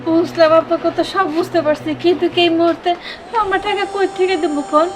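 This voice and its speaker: A woman's voice: extremely high pitch, steady tone, fast. Woman playing a tearful pleading wife. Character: poor tearful wife and mother. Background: background music.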